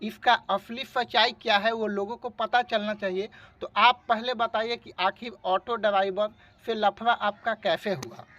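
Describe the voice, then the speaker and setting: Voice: mid-range voice, steady tone, normal speed, hardly any pauses, a bit bright, lo-fi sound. An interviewer of unclear sex with Bhojpuri-influenced Hindi, pressing a caller with repetitive, accusatory questions. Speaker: interviewer for an online news channel.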